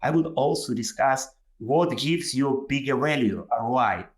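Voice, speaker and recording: A man's voice: mid-range voice, moderate pace. A man with a Ukrainian accent, a native Ukrainian speaker, giving a webinar presentation over a video call, with many fillers and restarts. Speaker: guest presenter.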